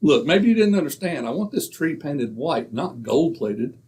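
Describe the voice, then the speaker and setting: A man's voice: on the high side, sing-song, normal speed, a little mellow, a little hiss or hum. A man acting a stubborn, penny-pinching husband in old-time radio comedy, indignant and folksy in manner. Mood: indignant haggling.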